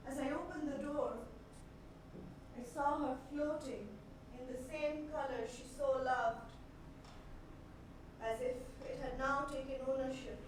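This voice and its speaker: High-pitched, haunted woman played on stage by an actress. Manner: slow, deliberate, with dramatic pauses and repetition. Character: haunted, unhinged woman recalling her mother's death. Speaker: solo stage actor. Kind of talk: theatrical dramatic monologue. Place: indoor theatre stage. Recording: echoey theatre stage, distant mic, noisy.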